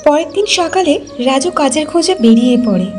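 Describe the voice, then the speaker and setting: A woman's voice: high voice, expressive, fairly quick, darkish tone, soft background music. A woman narrator reading a scripted fairy-tale story for a children's cartoon.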